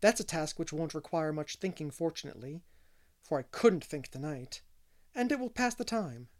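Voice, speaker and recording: A man's voice: a little high, lively intonation, moderate pace, natural voice. A man reading as an earnest, kindly young woman from a storybook, romantic and dreamy yet firm, with literary phrasing.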